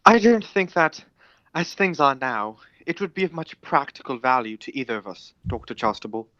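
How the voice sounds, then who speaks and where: A man playing an earnest, anxious young gentleman in a radio play, formal and insistent. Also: mid-range voice, sing-song, normal speed, no echo, lo-fi sound. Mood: polite, formal.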